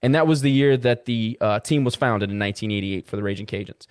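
A man's voice: on the low side, fast talker, clean tone, no echo, clean studio sound. A man hosting a podcast in an informal, enthusiastic, conversational interviewing style. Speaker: podcast host.

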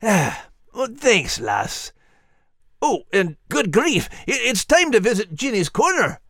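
A folksy male Scottish dog for a children's audio drama. His speech swings widely in pitch, earnest and plain-spoken, with a warm heart. The recording is close and dry. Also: wildly swooping pitch, slow pace, no echo, exaggerated voice. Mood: earnest, grateful.

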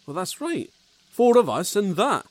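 A man acting a frightened male crew member woken from stasis, halting and disbelieving. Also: high-pitched, very animated, no echo, exaggerated voice. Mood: anxious. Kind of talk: audio drama acting.

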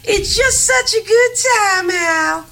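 A woman voicing a glamorous, vain female puppet diva with an English accent, gushing with gratitude in a theatrical, drawn-out way. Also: extremely high pitch, vocal fry, bright, forceful tone, heavily acted, rough recording. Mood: gushing, excited.

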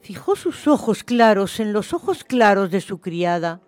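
A woman reads scripted literary narration for a radio play. The voice is of medium pitch, with faint music running behind it. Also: very animated, a little slow, a little mellow, dry recording.

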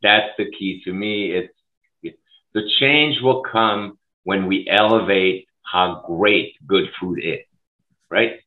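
A middle-aged man from Switzerland, a school food services director, speaking passionately and emphatically in an interview over a video call. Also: low voice. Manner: passionate, emphatic, rapid bursts. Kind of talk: conversational interview. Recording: tinny phone-like line.

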